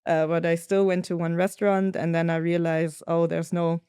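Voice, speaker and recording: A young woman from Germany, a podcast guest, telling travel stories in a thoughtful, lively way. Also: lowish voice, moderate pace, smooth, dry recording, clean studio sound. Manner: thoughtful storytelling, lively when quoting others. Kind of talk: casual conversational interview.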